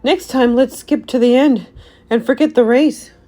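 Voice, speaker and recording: A woman's voice: sits high, warm tone, pitched above the performer's own voice, close to an everyday voice. A woman voicing a goofy, cowardly young man, a cartoon sidekick, whiny and exclamatory, in a read-aloud storybook. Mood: nervous. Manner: exclamatory, drawn-out, peppers talk with "like".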